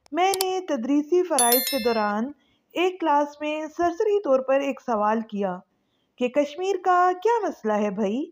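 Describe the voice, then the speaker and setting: A woman narrating a scripted story as a channel host, with an expressive, questioning delivery. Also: high voice.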